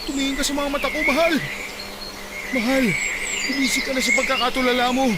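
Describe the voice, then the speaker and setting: A man's voice: very high voice, level tone, fast talker, heavy background noise. An actor playing a caring, worried middle-aged husband and father in a radio drama, speaking in a concerned, coaxing way. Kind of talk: Tagalog radio drama acting.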